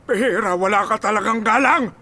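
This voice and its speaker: A man's voice: high-pitched, normal speed, bright, forceful tone. A man playing an emotional, protective old grandfather in a Filipino radio drama, speaking emphatically and pleadingly. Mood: bitter reproach. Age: older. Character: frail, loving old grandfather, reproachful and protective.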